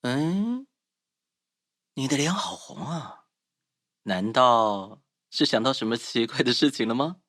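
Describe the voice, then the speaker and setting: A man's voice: medium pitch, big pitch swings, a little slow, pauses often, a bit bright, no room sound, clean studio sound. A man voicing a doting, teasing young man in a romance game, speaking tenderly and playfully. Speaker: game voice actor.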